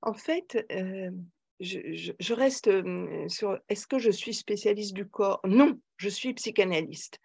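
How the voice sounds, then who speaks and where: An older woman, answering conversationally in an interview, emphatic and reflective, pausing as she searches for words. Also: mid-range voice, creaky, a little mellow, husky. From Paris, France. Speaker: psychoanalyst and author, podcast guest.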